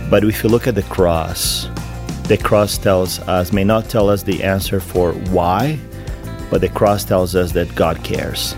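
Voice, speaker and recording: A man, an associate pastor speaking as a guest, talking slowly and earnestly with pauses. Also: sits low, fairly even intonation, music in the background. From Brazil. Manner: slow, searching, with pauses and fillers. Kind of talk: earnest conversational testimony.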